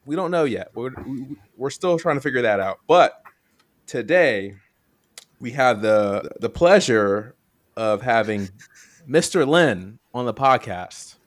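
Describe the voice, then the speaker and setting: A man's voice: mid-range voice, animated, a little slow. A man hosting a podcast in casual chat, talking in a rambling, self-deprecating way. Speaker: podcast host.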